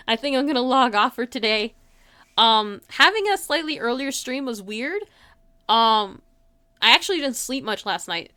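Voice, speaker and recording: A woman with a fairly high, very clear and smooth voice, hosting a livestream. She chats casually and informally, in a giggly way, with plenty of "like" in her sentences. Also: bright, forceful tone, no room sound. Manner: giggly, informal, frequent filler "like". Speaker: livestream host. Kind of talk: casual chat to livestream viewers.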